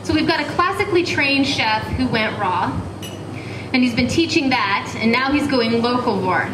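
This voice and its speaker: A woman's voice: high-pitched, lively intonation, normal speed. A woman hosting a live cafe talk, reading a guest introduction and asking questions, slightly flustered and stumbling over words. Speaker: event host. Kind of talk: reading an introduction, then interviewing. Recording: distant echoey mic with background noise.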